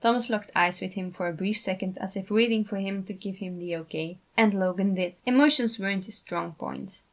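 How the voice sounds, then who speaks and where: A woman's voice: mid-range voice, brisk, few pauses. A woman reading fan fiction aloud as scripted story narration. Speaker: fan fiction author and reader.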